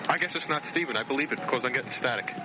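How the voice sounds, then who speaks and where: A man in a halting, questioning phone conversation, heard on an archival home tape from the 1990s. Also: medium pitch, heavy background noise.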